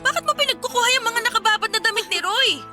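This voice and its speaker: Very high-voiced, caring Filipino sister. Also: female voice, expressive, rapid-fire, music in the background. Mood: casual, practical. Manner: coaxing, scolding. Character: caring, exasperated sister, practical and protective. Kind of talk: emotional Filipino radio drama acting.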